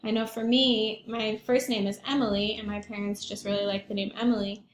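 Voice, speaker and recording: A woman's voice: medium pitch, level tone, fairly quick, a little hiss or hum. A woman school librarian doing a read-aloud for young children, explaining things and putting questions to them. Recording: echoey room.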